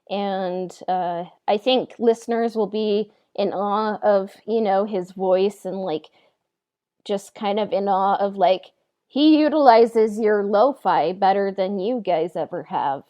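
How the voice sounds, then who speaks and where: A woman co-hosting a podcast, chatting casually in a halting, informal way. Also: medium pitch, no echo. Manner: halting, informal, frequent "like" and "you know". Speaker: podcast co-host and audio drama writer. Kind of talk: casual conversational chat.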